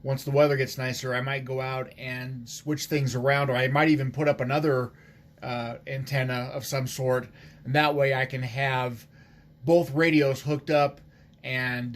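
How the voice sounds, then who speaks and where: A man speaking as an amateur radio operator in casual conversation, in a halting, hesitant way. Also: medium pitch.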